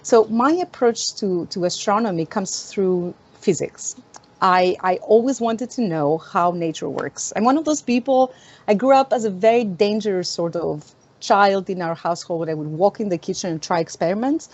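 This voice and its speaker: A woman from Greece, an astronomer answering interview questions in an animated, storytelling way, often laughing. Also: medium pitch, normal speed, dull audio. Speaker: astronomer, guest. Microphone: video call.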